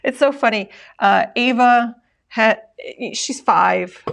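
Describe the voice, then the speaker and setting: A woman's voice: fairly high pitch, slow pace, creaky. A woman in her forties co-hosting a live stream, chatty and laughing often as she reads out viewer messages. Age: 40s. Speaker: co-host reading chat. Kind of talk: live-stream casual chat.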